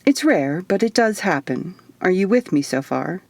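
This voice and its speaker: A woman's voice: lowish voice, sing-song, normal speed, gravelly, darkish tone, no room sound, heavily acted. A woman voicing a stern, matter-of-fact male alien guardian in human form for an audiobook, explaining things firmly. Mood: measured, explaining. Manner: firm, explanatory. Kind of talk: audiobook character dialogue.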